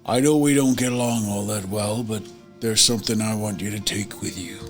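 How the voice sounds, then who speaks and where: Man playing a solemn, low-voiced pious man. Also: fairly even intonation, faint music behind, lightly acted. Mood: solemn, gentle. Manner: slow, solemn, low-key. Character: earnest pious man, calm and preachy.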